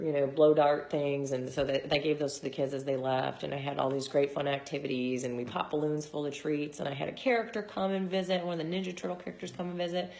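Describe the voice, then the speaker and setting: Woman, hoarse, low pitched, gravelly, rambling casual chat, thirties. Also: darkish tone. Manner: chatty, rambling with many fillers. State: hoarse from shouting. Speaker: vlogger and mom.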